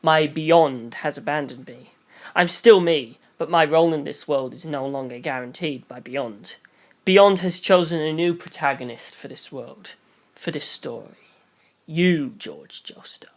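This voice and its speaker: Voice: medium pitch, measured pace, close to an everyday voice. A narrator voicing an eleven-year-old boy detective in an audiobook, earnest, intense and grandly self-certain, given to pompous speeches. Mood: resigned, solemn.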